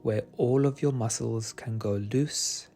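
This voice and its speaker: A man guiding a meditation in a soothing, gentle and unhurried manner. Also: medium pitch, vocal fry. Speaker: meditation guide. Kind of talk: guided meditation narration. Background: soft meditation music.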